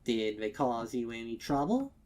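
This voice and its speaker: A man's voice: medium pitch, sing-song, normal speed, a little mellow, close to an everyday voice. A man voicing a motherly, prim adult female pony shopkeeper with a polite manner in a storybook reading. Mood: polite unease.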